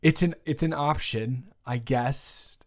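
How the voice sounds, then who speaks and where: Awkward, teasing ex-boyfriend played by a man, slow delivery, medium pitch, stammering, for intimate audio roleplay. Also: lightly acted. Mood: earnest, flustered. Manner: halting, stammering, with long pauses. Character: awkward, earnest, teasing young ex-boyfriend trying to reconcile. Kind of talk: improvised romantic audio roleplay, addressed to the listener.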